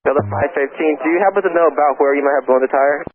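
A man's voice: on the high side, steady tone, racing, a crowd in the background. A male air traffic controller giving clipped procedural instructions over radio. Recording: thin narrowband radio, voices behind.

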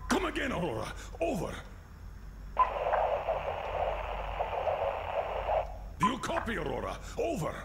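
Gravelly game colonel, commanding, gruff, wildly varied pitch, middle-aged. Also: male voice, huge pitch swings, fast, heavily acted. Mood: businesslike. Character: gruff commanding colonel of a special task force. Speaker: military commander. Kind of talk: video game character acting. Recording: echoey game scene with loud noise.

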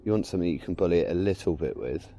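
Male angler, low warm voice, easygoing talk to camera outdoors. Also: rapid-fire. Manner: relaxed, chatty, explains his tackle. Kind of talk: casual fishing commentary. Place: canal bank outdoors.

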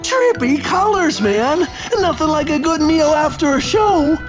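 A man's voice: very high-pitched, lively intonation, unhurried, music in the background. A man voicing a laid-back, goofy young slacker in a cartoon video game, talking in slang. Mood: goofy, upbeat. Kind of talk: animated video game character acting.

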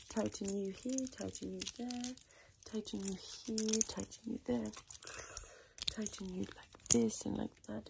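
A woman softly improvising an ASMR personal attention role play as a tailor, chatting in a rambling, chaotic way close to the microphone. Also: fairly high pitch, gravelly, noisy background. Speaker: ASMR creator playing a tailor.

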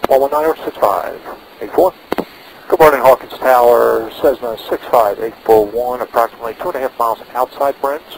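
A man pilots a small plane and speaks over a headset in short procedural phrases. His pitch is middling. He switches between radio calls and briefing himself, with a faint engine drone on the intercom. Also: moderate pace, vocal fry, slight background noise, rough recording. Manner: talks himself through checks in short phrases. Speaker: private pilot. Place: inside a small propeller plane in flight. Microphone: aviation headset.

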